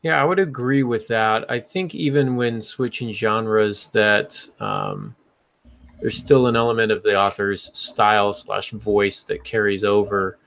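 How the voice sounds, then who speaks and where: A man's voice: fairly low pitch, lively intonation, measured pace, gravelly, fairly bright tone, dry recording. A man hosting a casual panel discussion, explaining things in an instructive way. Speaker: podcast host.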